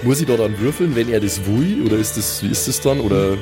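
A man's voice: fairly low pitch, lively intonation, brisk, over music. A man chatting casually as a player in an improvised tabletop role-playing game, partly speaking in character.

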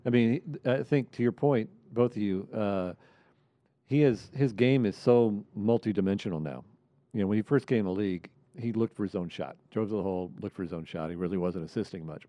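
Low, mellow male sports host. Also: a little fast, dry recording. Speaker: host of a sports media roundtable. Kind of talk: conversational sports discussion.

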